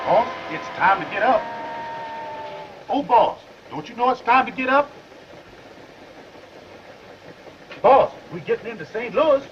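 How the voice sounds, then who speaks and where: Railway porter, male: high animated voice, folksy and deferential, old film. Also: moderate pace. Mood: polite, insistent. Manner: deferential, folksy, ungrammatical speech. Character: deferential, chatty railway sleeping-car porter rousing passengers. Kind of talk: 1930s film comedy acting. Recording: muffled, noisy 1930s film soundtrack.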